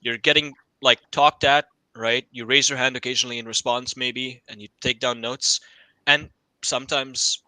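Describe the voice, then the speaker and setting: A young man with a bright, sharp tone answers a panel question in a dry, close recording. He gropes for words, restarting and filling pauses. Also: medium pitch, fairly even intonation, no echo, low-quality recording. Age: young adult. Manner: hesitant, many fillers and restarts. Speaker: college student panelist. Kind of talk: casual podcast panel answer.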